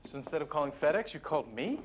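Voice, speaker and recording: An actor playing an earnest, easygoing young reporter chatting with friends. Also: male voice, on the high side, sing-song, quick pace, background noise. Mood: friendly. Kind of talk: TV drama acting. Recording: drama audio with music score.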